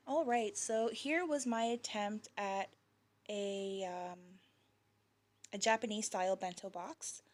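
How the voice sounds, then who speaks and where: Chatty mom, a little hoarse. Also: female voice, mid-range voice. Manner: chatty, laughs lightly, fillers like um and uh. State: slightly losing her voice. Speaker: parent showing kids' lunches. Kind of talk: casual voice-over vlog.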